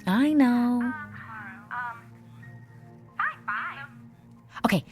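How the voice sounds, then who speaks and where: An actress playing a worried, earnest young student in an audio drama, speaking with secretive urgency. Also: female voice, on the high side, lively intonation, unhurried, over music. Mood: reassuring.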